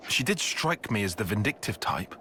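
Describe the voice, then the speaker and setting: An actor playing a composed, world-weary military officer in a video game, speaking in a measured, wry, formal manner. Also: male voice, on the low side, very animated, sharp tone, slight background noise. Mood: dry. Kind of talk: video game cutscene acting.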